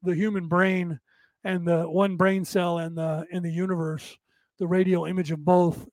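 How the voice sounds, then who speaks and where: Man, talk show host, hoarse, raspy, high voice, dry close sound. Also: darkish tone, no room sound. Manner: rambling, emphatic, addresses listeners directly. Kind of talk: late-night spiritual talk show monologue.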